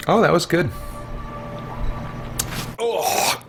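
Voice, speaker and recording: A man's voice: a little high, very slow, gravelly, soft background music. A sleepy man live streaming games, babbling in a silly, sing-song, off-the-cuff way as he fights to stay awake.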